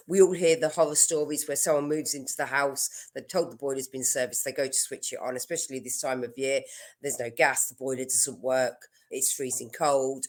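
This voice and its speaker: A woman mortgage broker with a stuffy nose from a cold, chatting casually and explaining her advice with everyday examples. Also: extremely low pitch.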